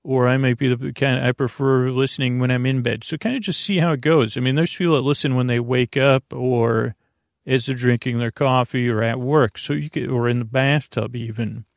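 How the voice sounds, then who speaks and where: A man with a gravelly voice hosting a bedtime podcast, talking in a halting, meandering monologue that keeps wandering into tangents. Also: medium pitch, fairly even intonation, dry recording, studio quality. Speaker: podcast host.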